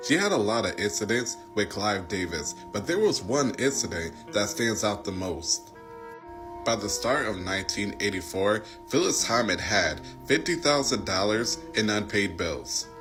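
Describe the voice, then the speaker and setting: A man giving informal storytelling commentary in a conversational, plain-spoken way. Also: fairly low pitch.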